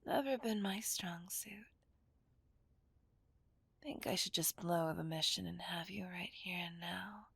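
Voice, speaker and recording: A seductive, possessive villainess played by a woman whispers in a breathy voice with a gravelly edge. She is teasing and dominant, drawing her words out slowly as if toying with a captive in an ASMR roleplay. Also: lowish voice, a bit bright, no room sound, exaggerated voice. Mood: impatient, flirtatious. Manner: teasing, dominant, drawn-out delivery. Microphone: close microphone, dry sound.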